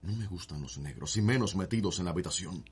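An actor playing a harsh, controlling slave-owning Cuban husband, sneering and hot-tempered, in a radio soap opera. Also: male voice, low-pitched, expressive, quick pace, darkish tone, slightly rough, full character voice. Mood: cold, sardonic.

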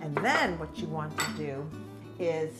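A woman's voice: sits low, unhurried, over loud music. Older woman chef talking through a recipe. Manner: instructive, steady walkthrough of steps. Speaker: cooking segment chef. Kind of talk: cooking demonstration. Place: large home kitchen.

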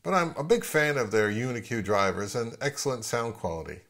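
A man's voice: medium pitch, lively intonation, normal speed, fairly bright tone. A man, giving a conversational product review as an audio gear reviewer.

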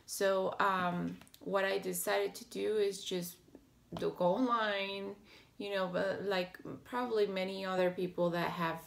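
Slow, gravelly-voiced woman vlogging at home. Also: medium pitch, little pitch movement, a little hiss or hum. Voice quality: raspy. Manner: hesitant, many fillers and restarts. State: slightly hoarse from allergies. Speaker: health vlogger. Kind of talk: casual talking-to-camera vlog. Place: small home office with bookshelves, indoors. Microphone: webcam or laptop camera at desk.

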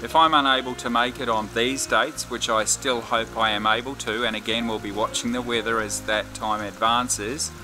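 A man's voice: mid-range voice, fairly even intonation, normal speed, hardly any pauses, over music. An Australian man in his fifties talking casually as a vlogger, outdoors in a backyard at about arm's length from the camera. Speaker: aviation vlogger. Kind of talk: casual vlog chat.

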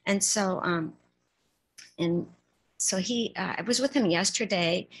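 A middle-aged woman with a soft voice giving an informal dharma talk in a meditation hall into a stand mic, halting and full of fillers. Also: mid-range voice, breathy edge. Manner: halting, with many fillers and restarts. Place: meditation hall shrine room, indoors. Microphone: stand mic in front of her.

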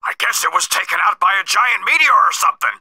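High, harsh, raspy soldier henchman. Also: animated, fast talker, sharp tone, no echo, full character voice. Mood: hesitant, bewildered. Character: rough alien soldier, loud deferential henchman. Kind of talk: animated video game voice acting.

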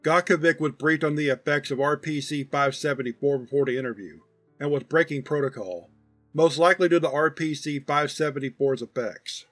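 Guy narrating case files, formal n measured, slightly high voice, light guitar music behind.

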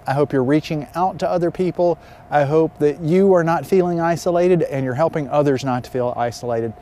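Pastor, male, 50s. Mellow, slightly high voice, measured and halting delivery, outdoor address. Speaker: pastor leading an online worship gathering. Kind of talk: spoken announcements and pastoral address. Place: outdoors beside a river.

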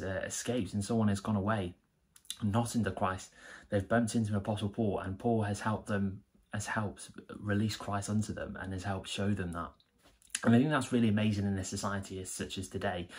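A man's voice: on the low side, slightly rough. A young man gives an earnest, enthusiastic devotional talk to camera in a small plain room. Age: young adult. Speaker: church member giving a devotional.

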